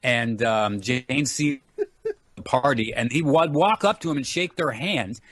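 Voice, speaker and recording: A man's voice: medium pitch, unhurried, fairly bright tone, no echo. A middle-aged man chatting casually as an interview guest, talkative and rambling as he explains things. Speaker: interview guest, actor and martial artist.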